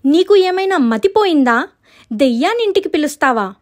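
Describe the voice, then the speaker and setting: Woman acting a high-pitched, panicky younger sister. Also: normal speed, a little mellow, close to an everyday voice. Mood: worried. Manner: anxious, exclaiming. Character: frightened, urgent young younger sister in a ghost story.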